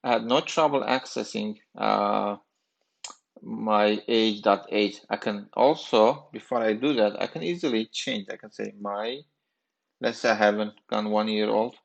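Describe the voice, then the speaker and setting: A man in his thirties giving a screencast programming lesson, halting and thinking aloud with fillers as he types. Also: on the low side, gravelly, lo-fi sound. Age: 30s. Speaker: programming instructor.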